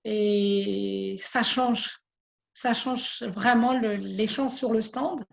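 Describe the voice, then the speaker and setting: A woman's voice: medium pitch, fairly even intonation, very slow, vocal fry, lo-fi sound. A woman giving an interview about her business in an earnest way, often repeating words as she searches for them. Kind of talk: casual conversational testimony.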